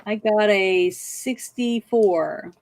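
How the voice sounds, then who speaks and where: A woman's voice: medium pitch, extremely slow, a little mellow, a little hiss or hum. A woman playing a tabletop game, talking casually through what her character wants to do.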